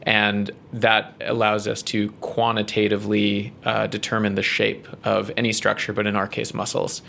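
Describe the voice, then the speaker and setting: A man with a New Zealand accent, a research scientist explaining his work in an interview, measured and full of ums and uhs. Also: fairly low pitch, completely flat delivery, creaky, pushed and bright, slight background noise.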